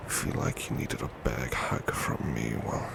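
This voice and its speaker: A man playing a big, burly, devoted butler in a soft ASMR roleplay, murmuring in a breathy, polite, tender and protective way. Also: extremely low pitch, unhurried, background noise, exaggerated voice. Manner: polite, formal, tender, reassuring.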